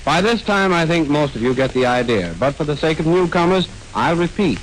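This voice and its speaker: A man explains the act as a 1930s radio announcer, emphatic and somewhat high-pitched. Faint hiss sits behind his voice on this old recording. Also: expressive, fairly quick, rough recording.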